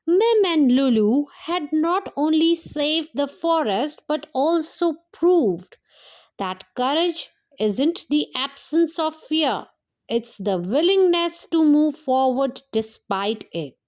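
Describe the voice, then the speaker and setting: A woman storyteller narrating a scripted children's fairytale in a deliberate way, broken into short phrases. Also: high voice, expressive, a little mellow.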